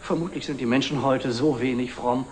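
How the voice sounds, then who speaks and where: A man's voice: medium pitch, fairly even intonation, a little fast, darkish tone, natural voice. Man playing wry old-fashioned antique dealer, middle-aged, dry formal talk, hissy old tv film sound. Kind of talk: 1960s German television drama acting. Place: indoor antique shop set.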